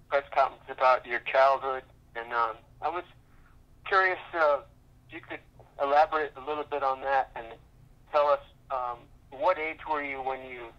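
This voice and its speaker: A man, a reporter, asking a halting question on a press conference call. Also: medium pitch, sharp tone. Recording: dull lo-fi phone line.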